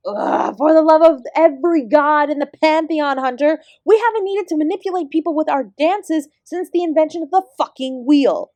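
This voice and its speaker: A woman acts as an immortal celestial dancer and guru in an audio roleplay. Her voice is high and closely recorded with no room sound. She is sardonic and fiercely independent, addressing the listener with exasperated, emphatic bite. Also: moderate pace, hardly any pauses, a little mellow, dry recording.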